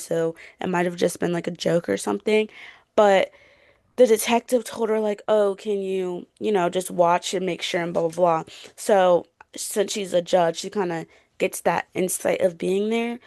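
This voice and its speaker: A woman's voice: lowish voice, steady tone, normal speed, a little mellow, dry recording, studio quality. A woman co-hosting a book podcast, chatting informally with youthful slang and frequent self-corrections. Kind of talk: casual chat about books.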